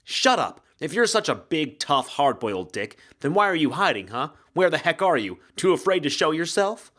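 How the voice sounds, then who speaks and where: A man's voice: on the high side, very animated, moderate pace, fairly bright tone, voice pushed up. A man voicing a feisty, frightened woman bookseller in an audiobook, speaking in an agitated, protesting, emphatic way. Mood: angry, challenging. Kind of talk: audiobook character acting.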